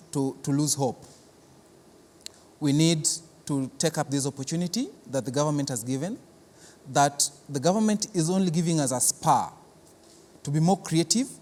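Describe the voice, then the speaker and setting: A man's voice: a little high, darkish tone. A man with a Kenyan accent giving unscripted interview answers as a cultural centre guest, earnest and explanatory, often repeating words. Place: large reverberant theatre building. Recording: echoey hall, clear background noise.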